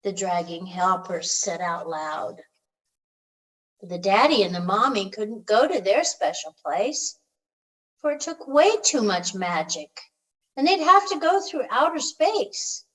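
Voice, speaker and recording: A woman reads a children's storybook aloud in a gentle, expressive storytelling manner, drawing out the ends of phrases. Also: medium pitch, slow, rough recording. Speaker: storybook reader.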